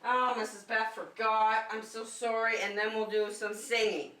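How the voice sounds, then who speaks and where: A woman's voice: a little high, fairly even intonation, moderate pace, sharp tone, a little hiss or hum. A woman preschool teacher giving simple, encouraging instructions to young children in a circle-time lesson. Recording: echoey room, distant microphone.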